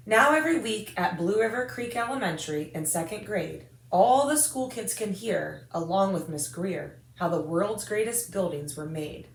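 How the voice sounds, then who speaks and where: An American woman reading a rhyming children's picture book aloud in an expressive, singsong rhythm. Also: medium pitch. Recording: echoey room.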